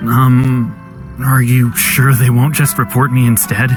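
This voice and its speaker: A man's voice: mid-range voice, level tone, unhurried, lightly acted. An actor voicing a regretful young man on the run who speaks in a subdued, resigned way. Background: game background music.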